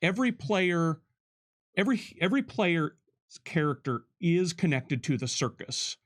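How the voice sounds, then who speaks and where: A man's voice: fairly high pitch, very animated, measured pace. A man giving conversational developer commentary, explaining things step by step and often checking with "right?".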